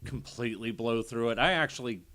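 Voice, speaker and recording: A man's voice: mid-range voice, fairly quick, fairly bright tone. A man co-hosting a podcast in casual chat, laid-back with a dry sense of humor. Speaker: podcast co-host.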